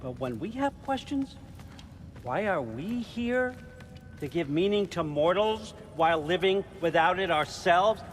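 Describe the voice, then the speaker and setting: A man's voice: sits high, lively intonation, a little slow, slight background noise. A man playing a cunning, aging patriarch of the gods in a video game, speaking in a conversational, coaxing way that can turn commanding. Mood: musing, persuasive. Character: cunning, persuasive old god, patriarch of gods. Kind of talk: cinematic video game acting. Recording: music under the voice.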